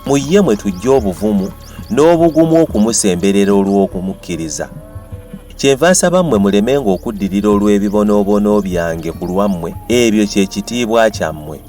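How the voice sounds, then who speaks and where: A man's voice: fairly low pitch, animated, a little fast, mellow. A man with a Ugandan accent giving a dramatized reading of scripture as a Bible reader. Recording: music behind the voice. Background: music.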